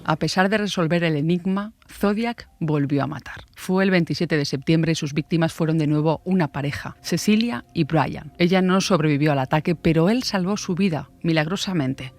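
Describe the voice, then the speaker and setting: Higher-pitched male true-crime narrator. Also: normal speed, few pauses, no room sound.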